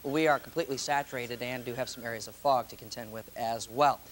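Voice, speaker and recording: A man's voice: medium pitch, steady tone, fast talker, fairly bright tone, slight background noise. A man presenting a live TV weather forecast as a meteorologist, in a conversational, ad-libbed way.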